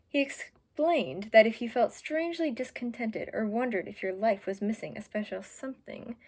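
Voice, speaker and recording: A woman's voice: on the high side, husky. A young woman in her teens reading a children's picture book aloud, adding chatty, informal asides for young listeners. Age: teenager. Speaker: student volunteer reader.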